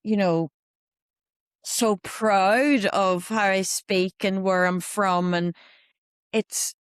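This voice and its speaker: A woman in her fifties speaks with a Belfast Irish accent, in a middle-pitched voice. She tells her story candidly and with animation, slipping into quoted asides. The recording is dry and close, with little room sound. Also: fairly even intonation, extremely slow, clear, resonant tone, no echo. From Northern Ireland. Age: 50s. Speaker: guest. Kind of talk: reflective interview conversation.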